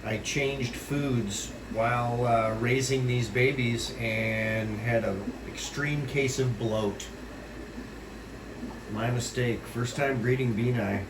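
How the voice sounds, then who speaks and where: A man's voice: mid-range voice, steady tone. Congested man touring his fish room. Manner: rambling, with many pauses and fillers. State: congested with a runny nose. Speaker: fish breeder giving a tour. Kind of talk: casual walkthrough vlog. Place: home fish room with air conditioning. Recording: echoey room with loud AC hum, mic at a distance.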